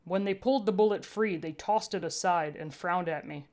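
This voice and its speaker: A woman's voice: fairly low pitch, moderate pace, a little mellow. A woman reading a first-person story aloud as scripted audiobook narration. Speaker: fan fiction audiobook reader.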